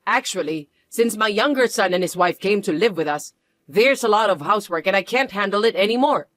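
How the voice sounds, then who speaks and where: A voice actor playing a selfish, demanding older mother-in-law who talks in a shrill, indignant way. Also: animated, a little fast, a bit bright, dry recording, lightly acted. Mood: wheedling. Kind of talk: dramatized story reading.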